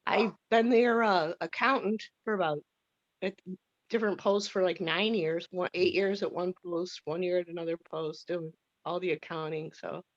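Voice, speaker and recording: A woman's voice: fairly low pitch, fairly even intonation, moderate pace, no echo. Woman on dull, lo-fi call audio. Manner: hesitant, filler-heavy. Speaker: meeting participant. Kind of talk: casual self-introduction. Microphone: video call.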